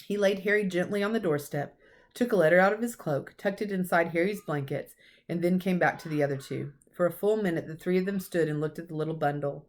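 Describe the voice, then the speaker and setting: A woman teacher reading a storybook aloud to children with expressive narration. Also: lowish voice, fairly quick.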